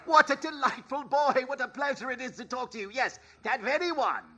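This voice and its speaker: A man's voice: very high-pitched, brisk, bright, forceful tone, husky, muffled recording, full character voice. A man playing a giddy, generous, reformed old miser with exclamatory, theatrical delivery in a 1930s radio play style. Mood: gleeful, excited.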